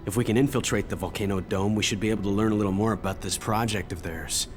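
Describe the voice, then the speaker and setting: Low-voiced young soldier played by a man. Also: fast, slight background noise, close to an everyday voice. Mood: businesslike, firm. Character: determined young male soldier seeking answers about his father. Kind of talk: English-dubbed video game cutscene acting.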